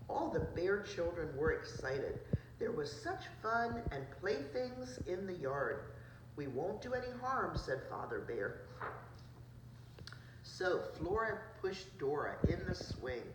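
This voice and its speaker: A woman preschool teacher reading a picture book aloud to children, adding simple asides about the pictures. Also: fairly low pitch. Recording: roomy, distant mic, noisy background.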